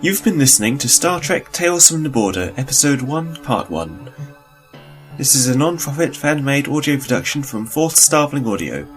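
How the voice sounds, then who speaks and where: A man's voice: mid-range voice, sing-song, normal speed, soft background music. A man announcing the credits of an audio production in scripted narration.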